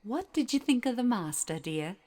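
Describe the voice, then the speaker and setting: A woman playing an animated, kindly housekeeper. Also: fairly high pitch, normal speed. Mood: confiding. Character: kindly, deferential housekeeper of a gothic manor. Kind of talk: period audio drama acting.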